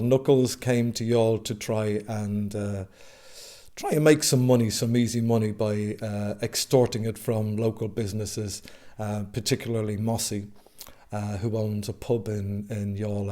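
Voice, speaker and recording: A man from Dublin speaks about his acting work in a fairly low voice. He talks in a halting way, full of small filler sounds. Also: warm tone. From Dublin, Ireland. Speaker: actor being interviewed. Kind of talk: casual interview.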